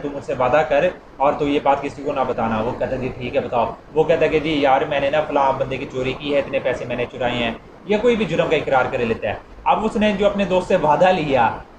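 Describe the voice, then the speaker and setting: A man gives an informal explanatory lecture, repeating points conversationally and illustrating them with everyday examples. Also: fairly high pitch. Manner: repetitive, conversational teaching with acted-out everyday examples. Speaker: law lecturer. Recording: echoey room with clear background noise.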